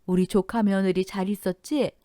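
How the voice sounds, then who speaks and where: A woman voicing a chatty, emotional older aunt who speaks high and loud. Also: fairly even intonation, moderate pace, no room sound, studio quality, natural voice. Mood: cheerful.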